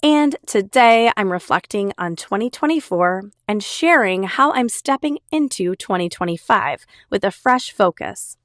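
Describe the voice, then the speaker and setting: Upbeat woman, raspy with a cold. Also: mid-range voice, lively intonation, measured pace, hardly any pauses, a bit bright, no room sound, clean studio sound. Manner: upbeat, chatty, enthusiastic. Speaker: podcast host. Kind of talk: solo podcast monologue.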